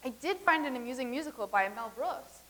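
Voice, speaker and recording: A woman's voice: sits high, lively intonation, fast, fairly bright tone. An actress playing a matter-of-fact woman from the far future in a staged comedy reading.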